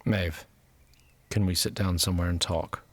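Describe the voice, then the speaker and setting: A man playing a gentle, grave, sorrowful captain in a fantasy audio drama, hushed and hesitant. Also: deep voice, steady tone, moderate pace, a little hiss or hum, lightly acted. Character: sad, gentle, grave male captain called Professor. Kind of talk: audio fiction acting.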